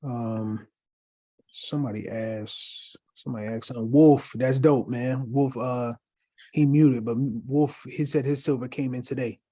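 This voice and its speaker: A man co-hosting a casual live chat, upbeat and encouraging. His voice is warm and mellow, and he talks through plenty of ums and uhs. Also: medium pitch, fairly even intonation, measured pace. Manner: upbeat, encouraging, many fillers. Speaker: co-host.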